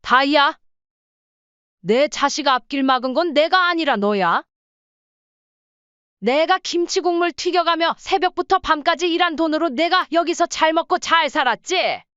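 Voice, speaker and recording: A woman playing a firm, proud mother-in-law in her sixties in a scripted audio story, speaking plainly and deliberately. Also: high voice, expressive, a bit bright, no echo, dull audio. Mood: indignant, scolding.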